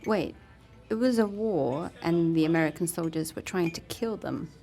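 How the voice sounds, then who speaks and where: A woman's voice: on the low side, animated, moderate pace, dark tone, soft background music. A woman playing an inquisitive podcast reporter in an audio drama, speaking in an informal, conversational way. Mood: curious, wry. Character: inquisitive female reporter, informal and dryly engaged. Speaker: reporter and narrator. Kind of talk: first-person podcast narration and interview in audio drama.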